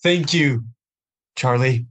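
A man's voice: mid-range voice, slow, a bit bright. An actor playing a young male Broadway chorus dancer who is stranded, earnest and pleading. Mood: grateful. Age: young adult. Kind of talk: audio play acting.

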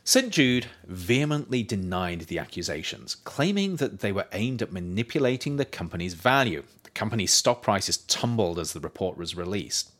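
A man reading scripted nonfiction as its author and narrator, in a measured, emphatic, explanatory manner. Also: on the low side, sing-song, fairly bright tone.

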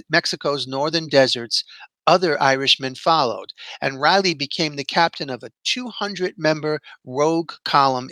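A man's voice: fairly high pitch, bright, forceful tone, dry recording. A man hosting a podcast, telling a story in a prepared solo monologue. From New York City, United States. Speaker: podcast host.

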